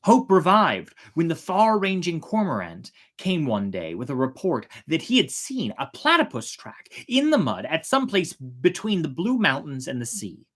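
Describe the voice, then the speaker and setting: A storyteller narrating a folk tale in a theatrical way, with drawn-out, emphatic words. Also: mid-range voice, huge pitch swings. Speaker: storyteller, host of a storytime show.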